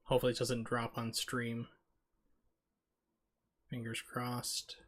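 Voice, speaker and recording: A man's voice: mid-range voice, monotone, takes it slowly, slight background noise. A man talking casually as the host of a live video game stream.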